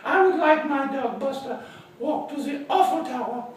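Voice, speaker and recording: Man acting rich doting lady, high voice, fussy, gushing, comic storytelling, distant from the mic. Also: lively intonation, moderate pace. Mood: relieved, eager. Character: fancy, anxious wealthy lady fussing over her pet dog. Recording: very echoey room, distant mic, faint noise.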